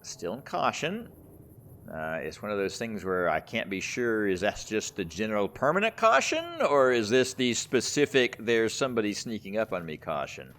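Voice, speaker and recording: A man's voice: lowish voice, huge pitch swings, moderate pace, a bit bright, a little hiss or hum. A man giving casual, rambling commentary as he plays a video game, thinking aloud. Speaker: gaming video host.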